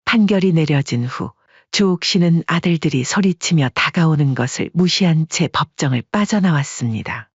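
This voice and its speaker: A narrator tells a story in even, measured tones. The voice is husky and the sound is dry and close. The recording is slightly muffled, like lo-fi audio. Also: medium pitch, no echo.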